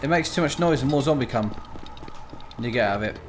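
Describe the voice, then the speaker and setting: A man giving casual, improvised game commentary, playful and excitable, exclaiming and talking to himself. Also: medium pitch, quick pace, music in the background. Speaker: gaming video host.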